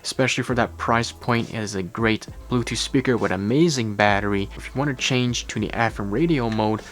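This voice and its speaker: A man's voice: lowish voice, brisk, fairly bright tone. A man narrating a tech gadget review in an explanatory, step-by-step way. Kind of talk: product review voice-over.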